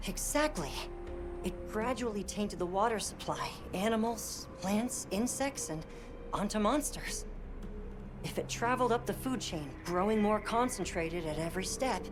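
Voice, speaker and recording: Woman as measured, scholarly game researcher over music. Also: mid-range voice, expressive, lightly acted. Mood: thoughtful explaining. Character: thoughtful, curious researcher explaining a theory. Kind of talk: dramatic video game cutscene acting. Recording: music clearly behind the voice.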